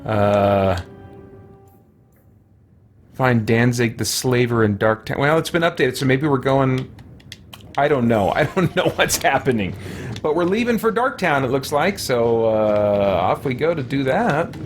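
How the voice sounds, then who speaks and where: A middle-aged man giving casual live let's-play commentary, musing and thinking aloud in halting asides. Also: mid-range voice, lively intonation. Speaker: game streamer. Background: game music.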